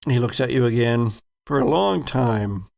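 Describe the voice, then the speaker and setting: A man narrating a tabletop game as game master, telling the story in a deliberate, suspenseful way. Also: mid-range voice, expressive, vocal fry, a little mellow.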